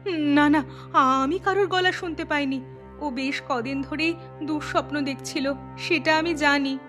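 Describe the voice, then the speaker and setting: A woman's voice: high-pitched, steady tone, unhurried, a bit bright, faint music behind. A woman voicing an anxious, earnest village female in a horror cartoon. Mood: worried. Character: anxious village mother; also her troubled teenage daughter.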